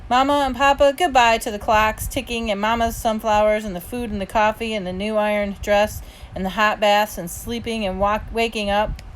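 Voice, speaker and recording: A woman voicing a teenage girl on stage in a storybook, speaking theatrically and with deep emotion. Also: steady tone, moderate pace, close to an everyday voice, poor audio. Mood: wistful farewell. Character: teenage girl stage actress delivering a tearful farewell. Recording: noticeable background noise.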